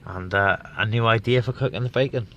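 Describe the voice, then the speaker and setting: A man chatting on a CB radio net from inside his parked vehicle, pausing often on fillers. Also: on the low side, lo-fi sound, noisy background. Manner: chatty, with many filler pauses. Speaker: CB radio operator. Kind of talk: casual radio chat and vlog talk.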